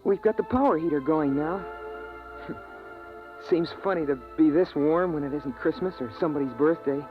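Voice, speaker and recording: A man's voice: a little high, moderate pace, soft background music, natural voice. An actor voicing a nervous young boy in a 1950s radio drama, stammering a little. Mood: wistful. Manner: hesitant, stammering. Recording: dull old radio transcription.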